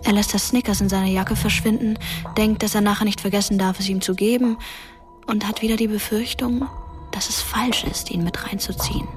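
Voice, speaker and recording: An actress playing a grave, watchful narrator in a scripted radio drama. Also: female voice, medium pitch, steady tone, fairly quick, lightly acted. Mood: grave, hushed. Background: music.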